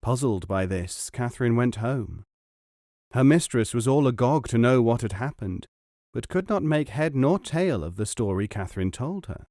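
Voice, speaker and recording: Measured male audiobook narrator, dry sound. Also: medium pitch, lively intonation, a little mellow, dry recording. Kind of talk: scripted storybook narration.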